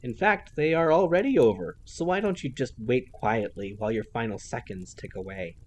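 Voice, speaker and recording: A man's voice: mid-range voice, animated, moderate pace, a little mellow, a little hiss or hum, natural voice. A man voicing a snide, childlike female villain who mocks and gloats in a theatrical sing-song. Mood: mocking.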